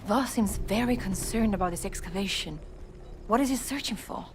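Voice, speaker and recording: A woman's voice: mid-range voice, normal speed, over music, natural voice. An actress playing an inquisitive, determined young woman in an adventure game. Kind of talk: cinematic adventure game acting.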